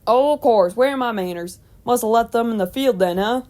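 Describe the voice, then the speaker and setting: A woman's voice: a little high, a little fast, a little mellow, pitched above the performer's own voice, natural voice. A woman voicing a kindly old male farmer with a folksy, hearty manner and a rural country accent, in a storybook reading. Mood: jovial.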